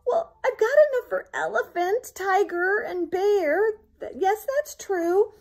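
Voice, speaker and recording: A woman's voice: very high voice, takes it slowly, voice pushed up, heavily acted. A woman voicing an exasperated but hospitable young boy in a storybook, with dramatic dismay. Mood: resigned welcoming.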